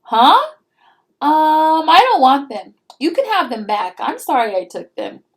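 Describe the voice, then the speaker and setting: A woman voicing an excitable little brother from a children's storybook, speaking up with emphatic delivery. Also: sits high, a little slow, voice pushed up, exaggerated voice. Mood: sheepish.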